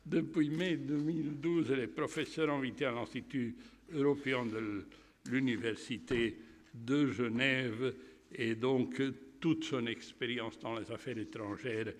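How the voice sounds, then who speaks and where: A man hosting an event, giving a polite formal introduction with occasional pauses and fillers. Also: mid-range voice, fairly even intonation. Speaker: event host. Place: lecture hall. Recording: reverberant hall with faint noise.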